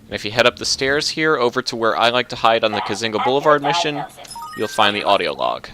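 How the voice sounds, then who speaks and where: A man narrating a video game walkthrough in a relaxed, instructive, matter-of-fact way. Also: mid-range voice, fast talker, crowd noise. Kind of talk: casual gameplay commentary.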